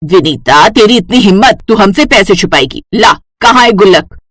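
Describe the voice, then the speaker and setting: A woman's voice: medium pitch, expressive, moderate pace, fairly bright tone, dry recording, clean studio sound. A woman voicing a cruel, greedy, domineering stepmother in a cartoon story, harsh and scolding. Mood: furious.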